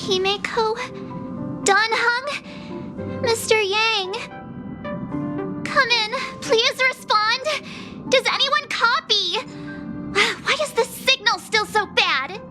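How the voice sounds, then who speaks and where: A woman's voice: very high-pitched, heavily acted. A woman playing a girlish young female adventurer in a video game, anxious but upbeat. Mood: worried. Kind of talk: video game story dialogue.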